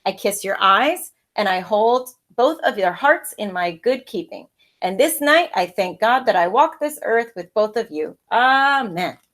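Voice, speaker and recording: A woman's voice: medium pitch, lively intonation, a little slow, a bit bright. A woman reading the part of a loving old grandmother in a storybook, tender and earnest. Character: loving old grandmother, gentle, devout and wise.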